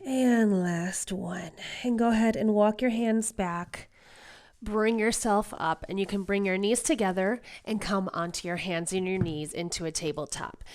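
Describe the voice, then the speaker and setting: A woman's voice: mid-range voice, measured pace, a little mellow. A woman yoga instructor getting over a cold, guiding a class in a casual, reassuring way. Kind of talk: guided exercise class instruction.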